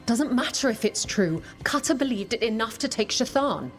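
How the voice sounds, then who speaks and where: A woman's voice: a little high, animated, normal speed, soft background music, lightly acted. An actress playing a confident, practical female adventurer and ally in a fantasy video game. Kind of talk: video game cutscene acting.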